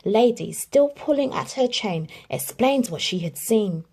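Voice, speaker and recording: A woman reading a children's storybook aloud in an expressive storytelling manner. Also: fairly high pitch, a little slow. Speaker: children's book reader.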